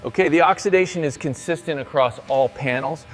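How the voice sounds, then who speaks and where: A man's voice: a little high, fairly quick. A man giving step-by-step workshop commentary as the narrator of a restoration. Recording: music behind the voice.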